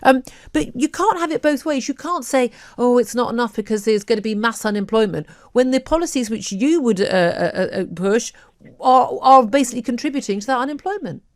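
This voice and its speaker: A woman radio talk show host, arguing her point forcefully in a studio interview. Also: a little high, animated, brisk, no room sound.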